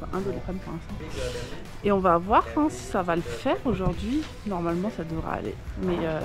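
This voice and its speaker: A woman speaking as a travel vlogger, in casual, expressive, slangy chat. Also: on the low side, fairly quick, over music.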